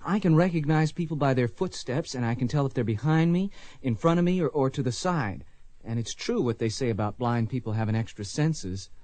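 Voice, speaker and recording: A man's voice: mid-range voice, brisk, warm tone, no room sound, close to an everyday voice. An actor playing a determined, earnest young man bent on vengeance in a radio western, speaking insistently.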